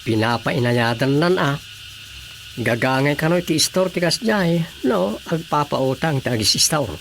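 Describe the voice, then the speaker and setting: A man's voice: on the high side, lively intonation, moderate pace, vocal fry, a bit bright, a little hiss or hum. A man acting in an Ilocano radio drama as a chatty, reflective village man, with an Ilocano Filipino accent. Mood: indignant.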